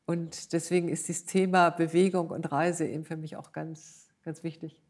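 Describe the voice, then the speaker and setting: A woman's voice: sits low, flat delivery, moderate pace, a little mellow. An older woman, a composer being interviewed, explaining her work in a thoughtful, conversational way. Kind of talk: reflective conversational interview in front of an audience.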